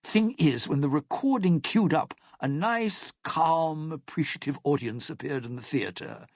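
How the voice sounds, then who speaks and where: An actor playing a cynical, self-serving lounge singer in a video game, with a raspy, gravelly voice and a wry, theatrical, menacing delivery. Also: male voice, on the high side, lively intonation, a little slow, no echo, clean studio sound. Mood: agitated, scheming. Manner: wry, theatrical, hesitant muttering turning to threats. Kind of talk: video game character dialogue.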